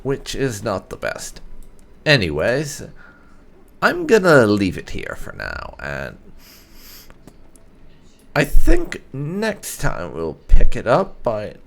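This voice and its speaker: A man's voice: mid-range voice, wildly swooping pitch, takes it slowly, gravelly, pauses often, fairly bright tone. A man hosting a gaming channel, giving casual let's play commentary.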